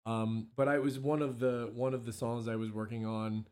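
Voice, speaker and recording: A hoarse man, a musician guest, chatting casually on a local radio show with lots of ums and restarts. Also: mid-range voice, little pitch movement, moderate pace, darkish tone. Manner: rambling, many ums and restarts.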